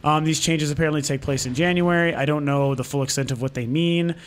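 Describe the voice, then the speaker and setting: A man's voice: fairly high pitch, steady tone, fast talker, slight background noise. A man from Colorado who is losing his voice, talking casually at home to his channel's viewers with many ums and restarts. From Denver, Colorado, US. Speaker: gaming channel host. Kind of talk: casual talk to camera audience over gameplay footage.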